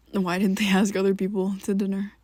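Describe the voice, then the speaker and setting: Hesitant, husky young-sounding woman, whispery. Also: mid-range voice, little pitch movement, dry recording. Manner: hesitant and rambling, halting restarts; soft, often drops to a whisper. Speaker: ASMR roleplay creator. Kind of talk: ASMR roleplay, speaking to the listener as a best friend.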